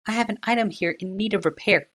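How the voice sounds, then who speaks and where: A male narrator voicing a haughty young princess who speaks sweetly but curtly, in a high, slow voice. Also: a bit bright.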